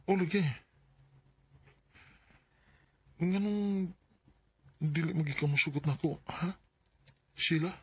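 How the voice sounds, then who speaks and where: A man's voice: a little high, unhurried, breathy edge, dry recording. A Filipino man with a Bisaya accent playing an earnest, insecure young suitor in a radio drama, speaking hesitantly and pleadingly.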